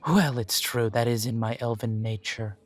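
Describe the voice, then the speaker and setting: A man voicing a polite male elf who owns a coffee shop, interviewing the listener for a job in a calm, formal way. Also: mid-range voice, normal speed, soft background music, natural voice. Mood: courteous. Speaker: shop manager interviewing an applicant. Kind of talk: audio roleplay, talking to the listener.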